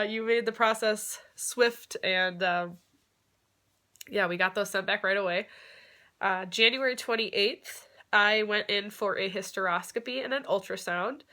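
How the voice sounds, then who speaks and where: A young woman with a stuffed-up nose from a cold talks casually and openly, with plenty of ums and the odd laugh. Her voice is bright and forward. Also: mid-range voice. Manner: chatty, many fillers, occasional laughs. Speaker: vlogger. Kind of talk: casual vlog explanation.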